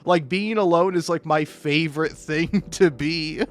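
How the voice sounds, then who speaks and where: Higher-voiced young man rambling casually over music. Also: a little slow. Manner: self-deprecating, animated storytelling. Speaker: video creator. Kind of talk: casual rambling gaming commentary monologue. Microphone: cheap mic, home recording. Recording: amateur mic with occasional plosive pops.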